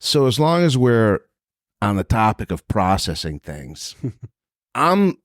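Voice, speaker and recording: A man's voice: fairly low pitch, very animated, slow, no echo, studio quality. A man in his fifties hosting a casual interview, joking and self-mocking, laughing often. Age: 50s. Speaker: podcast host.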